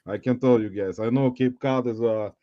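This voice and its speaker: A man's voice: medium pitch, fairly even intonation, dry recording, lo-fi sound. A man in his forties from Uzbekistan with a Russian accent, hosting a casual livestream chat and rambling to his viewers. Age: 40s. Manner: rambling, halting, addresses viewers as guys. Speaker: livestream host.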